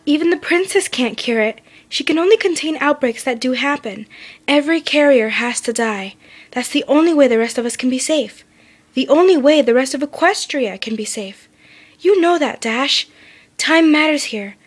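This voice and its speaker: A woman's voice: high-pitched, expressive, moderate pace, voice pushed up. A woman reads as a stern female unicorn pony with a raised, firm voice. She reasons insistently, like a leader, in a fan story reading.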